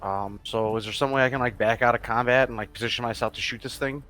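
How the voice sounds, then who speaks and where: A man's voice: medium pitch, fairly even intonation, quick pace, a bit bright, soft background music. A man playing a tabletop roleplaying game, chatting casually and asking questions in a conversational way.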